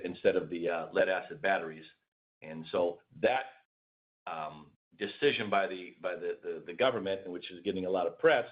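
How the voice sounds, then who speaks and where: A man answering questions as a company executive, casually, with repeated words and everyday comparisons. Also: low voice. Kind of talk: answering questions on a conference call. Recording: dull lo-fi call audio.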